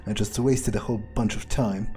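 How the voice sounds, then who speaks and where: A man's voice: on the low side, fairly even intonation, brisk, mellow, soft background music, lightly acted. A man playing a cynical, foul-mouthed vampire in a video game, muttering impatiently as he thinks aloud. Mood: impatient, grumbling. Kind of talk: in-character video game playthrough monologue.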